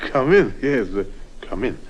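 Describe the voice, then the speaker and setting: An actor playing a shady underworld go-between in a 1950s radio drama. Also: male voice, medium pitch, very animated, measured pace, dull audio. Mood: guarded, uneasy. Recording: noisy vintage 1950s radio recording.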